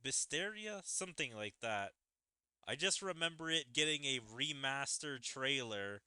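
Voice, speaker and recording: A man giving casual game commentary as a streamer, musing in halting phrases and speaking into a close microphone. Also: mid-range voice, sharp tone, clean tone, no echo. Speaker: gaming streamer. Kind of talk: casual game commentary, reading dialogue aloud. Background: game music.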